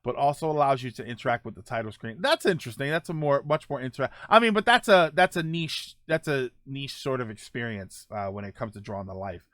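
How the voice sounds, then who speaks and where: Male streamer, halting talk, dry close sound. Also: mid-range voice, expressive, fairly bright tone, no echo. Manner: halting, circles back and rephrases points. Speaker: host of a gaming livestream. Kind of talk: casual opinion talk answering viewer comments.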